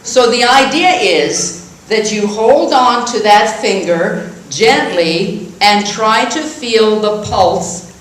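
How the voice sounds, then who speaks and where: Slow, halting woman in a cavernous hall. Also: medium pitch. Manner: halting, self-correcting. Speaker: congregant. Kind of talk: explaining a technique. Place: church sanctuary. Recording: very echoey hall, voice from far off.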